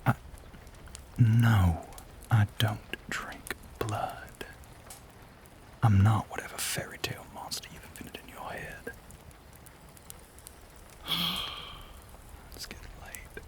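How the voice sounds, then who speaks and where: A man playing a lonely, brooding Southern gentleman vampire in an intimate audio roleplay, with a Southern US accent, a breathy voice and genteel, old-fashioned phrasing. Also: on the low side, sing-song, takes it slowly, long pauses. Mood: dismissive, weary. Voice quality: breathy, whispery.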